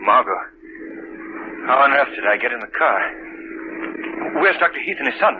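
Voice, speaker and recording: An actor playing a dazed, earnest gentleman hero in an old radio drama. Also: medium pitch, very animated, normal speed, close to an everyday voice. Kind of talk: 1930s radio acting. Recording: muffled noisy old radio broadcast.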